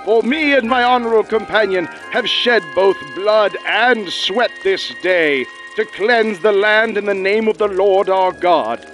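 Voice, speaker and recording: An actor playing a pompous, hearty crusader knight, declaiming in mock-archaic old-time radio style. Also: male voice, sits high, measured pace, few pauses, fairly bright tone, soft background music, low-quality recording. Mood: hearty, grandiose. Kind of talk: parody 1940s radio play acting.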